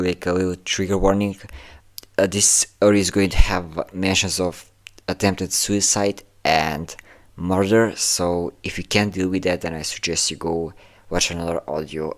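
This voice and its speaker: A man giving an informal, hesitant spoken introduction as the creator of an audio roleplay. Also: low-pitched. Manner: hesitant, casual.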